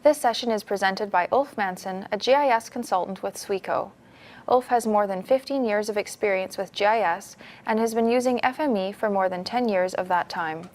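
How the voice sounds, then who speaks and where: A woman announcer reads a scripted introduction at a middle pitch. Her delivery is smooth and even throughout. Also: moderate pace.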